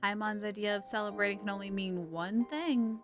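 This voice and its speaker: A woman's voice: medium pitch, fairly even intonation, moderate pace. A woman streaming a game, giving casual, playful commentary as she reacts aloud to the story. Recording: game music behind the voice. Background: game music.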